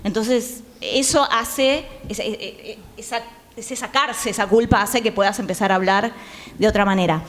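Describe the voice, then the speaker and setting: A woman's voice: medium pitch, normal speed, slight background noise. A woman with an Argentine accent speaking as a panel guest in conversational style, searching for her words. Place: theatre, live panel. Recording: reverberant theatre hall.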